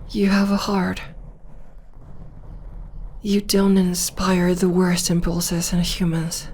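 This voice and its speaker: A young woman voicing a gentle, devoted witch girlfriend in an ASMR comfort roleplay, speaking softly and often in a whisper. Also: mid-range voice, a little hiss or hum. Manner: soft, soothing, often whispering. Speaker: voice actor.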